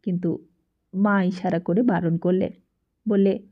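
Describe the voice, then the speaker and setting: A woman reading a literary story aloud as an audiobook narrator, with a dramatic delivery and expressive pauses. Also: fairly low pitch. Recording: dull, lo-fi sound.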